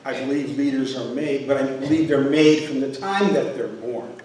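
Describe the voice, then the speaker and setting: Older guy from pennsylvania, polish first language, earnest and halting, lots of uhs, far off in a really echoey hall. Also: mid-range voice, darkish tone. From northeastern Pennsylvania. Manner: earnest, halting, with many fillers and restarts. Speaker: university president candidate. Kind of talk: public talk to an audience. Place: university hall.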